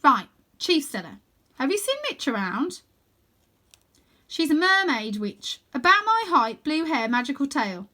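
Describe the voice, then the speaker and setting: A woman's voice: high-pitched, sing-song, unhurried, long pauses, sharp tone, pitched above the performer's own voice, exaggerated voice. A woman voicing a plucky, practical young girl adventurer in a children's storybook, speaking directly and persuasively. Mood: questioning.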